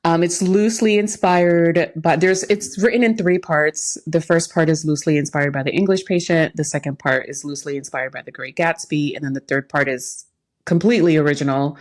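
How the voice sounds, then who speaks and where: A woman's voice: sits low, fairly even intonation, brisk. A woman co-hosting a podcast in casual, candid conversation, telling stories effusively with frequent asides. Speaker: podcast co-host.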